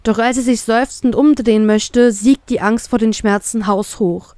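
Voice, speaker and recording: Erzählerin, trocken aufgenommen. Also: female voice, fairly high pitch, steady tone, moderate pace, a little mellow, dry recording. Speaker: narrator of a fan fiction story. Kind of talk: scripted first-person audiobook narration.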